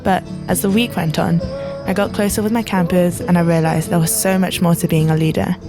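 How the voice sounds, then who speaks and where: A woman's voice: lowish voice, steady tone, a little fast, music in the background. A young woman, a youth camp leader, narrating a reflective voice-over about camp in an earnest way. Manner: earnest, reflective, laughs lightly.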